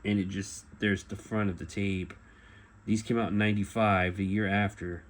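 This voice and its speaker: A middle-aged man giving a casual show-and-tell as a collector, talking in a halting, rambling way and often correcting himself. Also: lowish voice, flat delivery. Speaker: collector presenting memorabilia. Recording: clear background noise.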